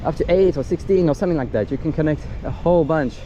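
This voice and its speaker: Clear outdoor noise sits behind a man with a slightly high-pitched voice. He talks casually, like a reviewer walking through a product's features step by step. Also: fast talker, low-quality recording. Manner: explanatory, step by step. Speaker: gadget reviewer. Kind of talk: casual hands-on product demonstration. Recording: loud wind and outdoor ambient noise.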